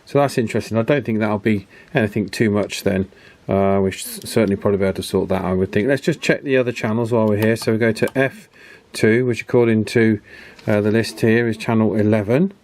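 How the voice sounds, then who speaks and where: A man giving a casual hands-on repair demonstration as host, unhurried and thinking aloud through each step. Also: lowish voice, darkish tone. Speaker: host of a radio repair channel.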